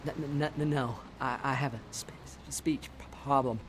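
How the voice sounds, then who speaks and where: A man's voice: mid-range voice, fairly even intonation, moderate pace, noisy background, close to an everyday voice. An actor playing a timid man with a heavy stutter in a video game. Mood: nervous, apologetic.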